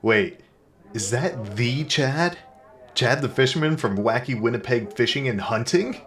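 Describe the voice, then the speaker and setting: An actor playing a self-important, earnest but dim hard-boiled detective in a comedic audio drama, with melodramatic noir delivery and music behind him. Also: male voice, medium pitch, expressive, a little slow, fairly bright tone. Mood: eager. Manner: melodramatic first-person noir narration. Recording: music bed under the voice.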